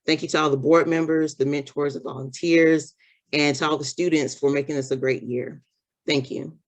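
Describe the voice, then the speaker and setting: Casting: scholar of middling pitch, grateful and sincere, halting delivery with "um" and "you know". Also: steady tone. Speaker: program scholar. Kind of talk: thank-you remarks.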